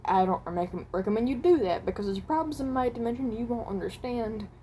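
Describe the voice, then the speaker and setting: A woman's voice: on the high side, brisk, warm tone, a little hiss or hum, natural voice. A woman playing a guarded, awkward animatronic possessed by someone who died at seventeen, in a hesitant, rambling amateur monologue. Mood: reluctant, guarded. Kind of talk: amateur character voice acting, improvised monologue.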